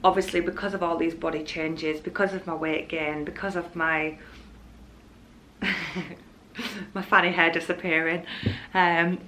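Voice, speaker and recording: Lower-pitched young Yorkshire woman, noisy recording. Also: fairly even intonation, a little slow, pauses often, sharp tone. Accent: Yorkshire English. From Hull, Yorkshire, England. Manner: rambling, candid. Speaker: vlogger. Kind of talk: casual chatty vlog.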